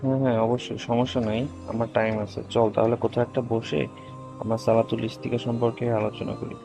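A devout young male cartoon student, voiced by a man, talks to his friend. He is agreeable and earnest, with scripted lines at an average pitch. A music bed is clearly audible under the voice. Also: flat delivery, fairly quick, natural voice.

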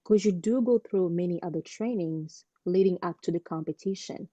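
A young woman, originally from Congo, tells her story with earnest energy on a video call. Her voice sits fairly low and mellow, and the dull, lo-fi call audio sounds dry with no room echo. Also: moderate pace. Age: 20s. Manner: earnest, animated storytelling with many fillers. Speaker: guest, pageant titleholder. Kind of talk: casual interview over video call. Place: home room indoors.

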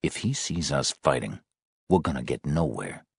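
A tired father on the defensive, trying to keep control, played by a male narrator. His audiobook voice is very low and dry. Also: big pitch swings, normal speed, no echo, full character voice. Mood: tense, defensive. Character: weary, defensive husband and father, keeping control. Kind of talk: audiobook character dialogue.

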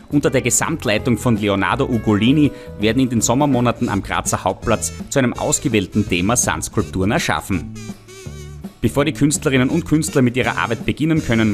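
Male reporter, low voice. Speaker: reporter and narrator. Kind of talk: scripted magazine narration and street interviews.

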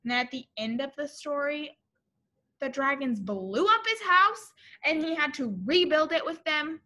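A young woman reads a children's story in a high, bright voice. She takes her time with the phrases, exaggerating them expressively and speaking directly to young listeners like a teacher. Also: measured pace. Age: young adult. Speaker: teacher reading a picture book to children. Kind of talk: animated children's story read-aloud. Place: classroom, indoors.

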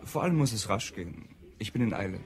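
Lowish-voiced hurried male customer. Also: very animated, gravelly, a crowd in the background. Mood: impatient. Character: puzzled, impatient male restaurant customer in a hurry. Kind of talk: radio drama dialogue.

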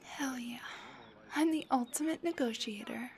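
Woman acting a soft, high-voiced, clingy girlfriend. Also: normal speed, lightly acted. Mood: playful haggling. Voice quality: soft, breathy, often near a whisper. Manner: cutesy, pleading, teasing. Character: playful, clingy, affectionate young girlfriend. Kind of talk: intimate ASMR girlfriend roleplay.

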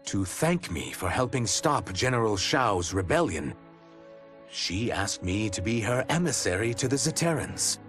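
A man's voice: on the low side, sing-song, measured pace. An actor playing a proud, wronged reptilian shape-shifter, narrating his story solemnly for a video game. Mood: earnest. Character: proud, wronged male shape-shifting reptilian mutant emissary vowing justice. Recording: loud music behind the voice.

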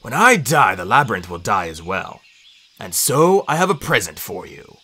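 An old inventor from a storybook, voiced by a male narrator. He sounds gentle and resigned. His phrasing is formal and measured, heavy with regret. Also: lowish voice, very animated, slightly rough, full character voice.

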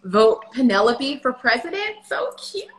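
A woman's voice: sits high, sing-song, unhurried, fairly bright tone, echoey room, rough recording. A woman in her thirties chatting casually on a livestream at home, answering viewer questions with lots of fillers. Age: 30s. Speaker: vlogger. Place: home kitchen. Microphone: phone camera at table.

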